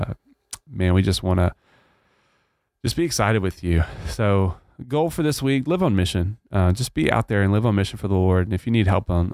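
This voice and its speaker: Texan guy hosting a church podcast, low smooth voice, rambly and earnest. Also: a little mellow, no echo, clean studio sound. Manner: earnest, rambling with many fillers. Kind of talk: casual chat.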